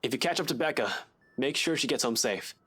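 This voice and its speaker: An actor playing a tired, protective investigator in a visual novel game, guarded, brusque and earnest. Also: male voice, medium pitch, animated, a little fast, pushed and bright, slightly rough. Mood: concerned. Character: tired, protective adult male investigator, gruffly determined.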